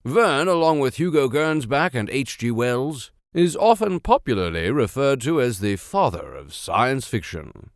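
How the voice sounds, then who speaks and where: A man reading classic literature aloud in an expressive, theatrical and playful way. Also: medium pitch, unhurried, hardly any pauses, no room sound, clean studio sound. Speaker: audiobook reader. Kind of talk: expressive narration of classic literature with casual asides.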